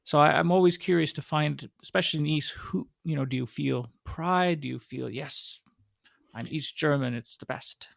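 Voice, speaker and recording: A curious Canadian man in his forties co-hosts a relaxed chat, his voice gravelly and a little rough around the edges. The sound is dry and close to the mic, with no room echo. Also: fairly high pitch, normal speed. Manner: curious, chatty, thinks aloud. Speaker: co-host. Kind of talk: casual conversational chat.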